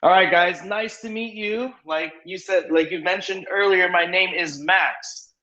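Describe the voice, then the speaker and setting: Loud, upbeat man teaching an online class. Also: sits high, measured pace. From California, USA. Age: 30s. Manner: upbeat, repeats "okay?" and "all right?" to check in; loud and energetic. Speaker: English teacher. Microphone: computer microphone on video call. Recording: lo-fi echoey online call audio.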